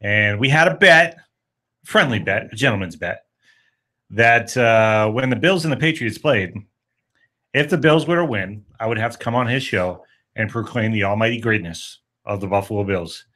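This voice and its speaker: A man with a lowish voice hosts a relaxed sports talk show. He sounds upbeat, laughs often and circles back on his own words as he chats with callers and co-hosts. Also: normal speed. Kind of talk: casual sports radio chat.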